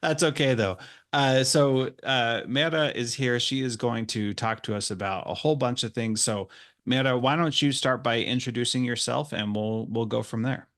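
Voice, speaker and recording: A man hosting an education podcast, chatting casually and warmly with his guest, with plenty of fillers. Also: medium pitch, normal speed. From United States. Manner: friendly, chatty, with many fillers. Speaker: podcast host. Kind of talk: casual interview chat.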